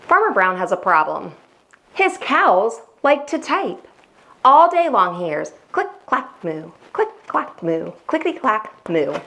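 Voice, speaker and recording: A woman hosting a children's storytime, reading expressively with deliberate emphasis, like a teacher. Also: mid-range voice, sing-song, vocal fry, pushed and bright, a little hiss or hum. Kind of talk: expressive read-aloud storytelling for young children.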